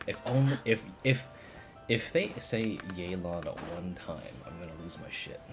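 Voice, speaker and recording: Animated, slangy game streamer. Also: low-pitched, a little slow. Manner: slangy, reactive. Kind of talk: casual live game commentary. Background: game music.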